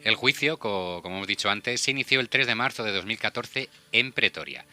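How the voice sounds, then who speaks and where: A man's voice: fairly low pitch, lively intonation, fairly quick, bright, forceful tone. A man with an accent from Spain hosting a panel discussion about crime. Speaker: radio show host.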